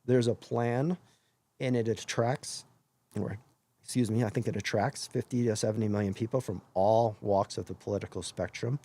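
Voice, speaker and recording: A hoarse man hosting his own live stream, talking earnestly in a rambling way and often correcting himself mid-sentence. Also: lowish voice, fairly even intonation, normal speed, warm tone. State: hoarse after illness. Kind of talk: casual live-stream monologue.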